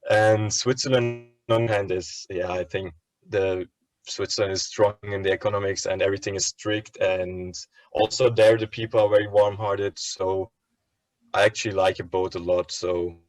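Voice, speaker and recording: A man, answering interview questions in a relaxed way and pausing to search for words. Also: fairly low pitch, low-quality recording. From Turkey. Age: 20s. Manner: easygoing, searches for words with ums and likes. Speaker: student interviewee.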